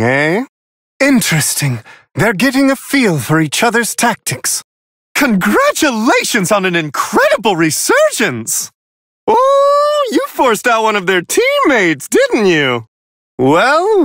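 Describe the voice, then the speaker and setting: A prissy polite angel fight announcer for a video game, airy high male voice, super swoopy, slow and amused. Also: a bit bright, dry recording, clean studio sound, full character voice. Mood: wry, intrigued, then grand, courteous, then delighted surprise. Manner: genteel, theatrical, courteous, wryly amused. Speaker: match commentator.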